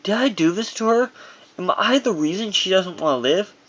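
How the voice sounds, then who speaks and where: Man with a higher-pitched voice reading memoir. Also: lively intonation, normal speed, a bit bright. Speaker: author reading own memoir. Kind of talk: audiobook narration of a first-person memoir.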